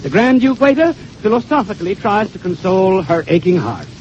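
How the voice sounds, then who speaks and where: A man's voice: high voice, big pitch swings, a little fast, darkish tone. A man hosting a 1930s radio show, reading scripted introductions in a formal, storytelling manner. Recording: dull, noisy 1932 radio broadcast.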